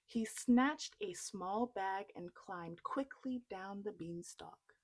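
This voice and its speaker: A woman reading a children's story aloud in an expressive storytelling style. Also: medium pitch, takes it slowly.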